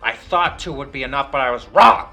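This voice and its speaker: A man's voice: medium pitch, expressive, a little fast, vocal fry, bright, forceful tone, faint music behind, voice pushed up, full character voice. A man voicing a male felt puppet in a horror story, persuasive and falsely friendly with a rehearsed manner. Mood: regretful, snapping.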